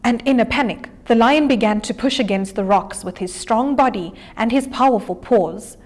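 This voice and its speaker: A woman's voice: a little high, normal speed, a little mellow. A woman reading a children's storybook aloud. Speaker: story reader.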